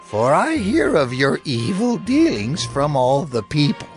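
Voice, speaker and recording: An actor playing an old, weary priest and father in a dramatized scripture story, speaking slowly and solemnly. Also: a little high, wildly swooping pitch. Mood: grieved reproach. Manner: slow, drawn-out, solemn. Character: old weary priest, grieving father rebuking his sons. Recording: music behind the voice.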